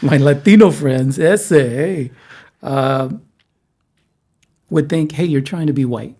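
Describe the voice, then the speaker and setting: A middle-aged man from South Texas, hosting a casual conversation, halting and repeating words, with a joking manner. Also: on the high side, warm tone. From South Texas, USA. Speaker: host.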